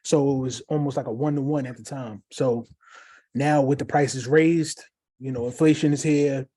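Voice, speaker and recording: A man co-hosting a casual live chat, upbeat and encouraging. His voice is warm and mellow, and he talks through plenty of ums and uhs. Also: medium pitch, steady tone, moderate pace. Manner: upbeat, encouraging, many fillers. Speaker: co-host.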